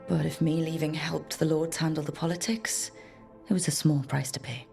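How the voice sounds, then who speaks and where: A woman playing a resolute, wry young adventurer in a video game, musing to herself in an unhurried, reflective way. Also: low-pitched, little pitch movement, vocal fry, darkish tone, faint music behind. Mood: wistful, reflective.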